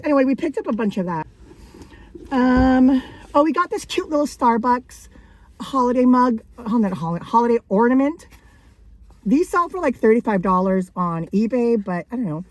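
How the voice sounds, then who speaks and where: A woman vlogging casually about her thrift finds, her voice raspy from days of coughing, rambling and laughing between thoughts. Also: fairly high pitch, poor audio. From Canada. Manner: chatty and rambling, frequent likes and laughs. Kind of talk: casual thrift haul vlog.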